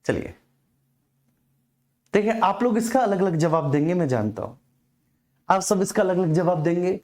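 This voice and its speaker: A man's voice: high-pitched, animated, normal speed, a little mellow. A man teaching an exam coaching class informally, explaining repetitively and addressing his students directly.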